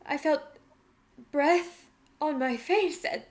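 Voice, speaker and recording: A woman playing a high-voiced, delicate young lady. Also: slow pace. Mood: haunted. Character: delicate, troubled young gentlewoman under a vampire's spell. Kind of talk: theatrical radio play acting.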